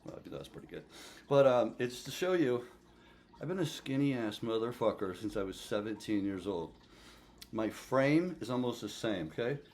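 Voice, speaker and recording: A man's voice: medium pitch, moderate pace, slight background noise. Blunt, profane sixty-year-old man. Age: 60s. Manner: blunt, profane, animated, frequent "okay?" check-ins. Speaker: fitness vlogger. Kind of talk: casual vlog to camera.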